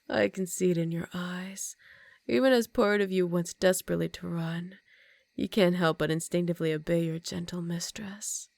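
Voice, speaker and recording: A woman's voice: lowish voice, fairly even intonation, moderate pace, gravelly, long pauses, a little mellow, no echo. A woman voicing a seductive, commanding vampire countess in an intimate ASMR roleplay, teasing and often dropping into whispers. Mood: commanding, smug.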